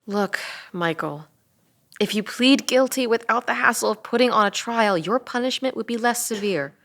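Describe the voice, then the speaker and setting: A woman's voice: on the high side, expressive, moderate pace, fairly bright tone. A woman playing a tough, weary police officer in a dramatic table read, sardonic and insistent. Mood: stern, pressing.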